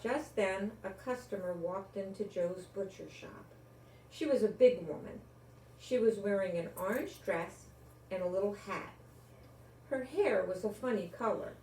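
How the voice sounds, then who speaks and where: An older woman tells a children's story at a slow, careful pace. She addresses small children expressively and deliberately. The room is echoey and she sits a bit back from the microphone. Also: medium pitch, warm tone, recorded from a distance, slight background noise. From Rhode Island, United States. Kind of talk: children's storybook read-aloud and friendly address to young viewers.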